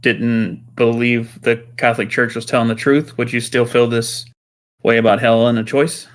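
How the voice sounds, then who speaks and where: A man interviewing a caller, calmly asking clarifying questions. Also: mid-range voice, normal speed. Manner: calm, probing, asks clarifying questions. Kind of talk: Socratic questioning.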